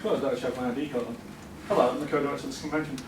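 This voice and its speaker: A man's voice: mid-range voice, steady tone, very fast. A man joining a panel as a volunteer, chatting in an eager, joking way. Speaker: convention co-director, volunteer panel participant. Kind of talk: casual joking chat. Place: indoor convention panel room. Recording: echoey convention room, distant noisy mic.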